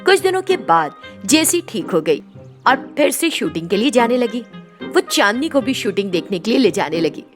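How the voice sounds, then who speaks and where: High-pitched woman narrating a story. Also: big pitch swings, normal speed, soft background music.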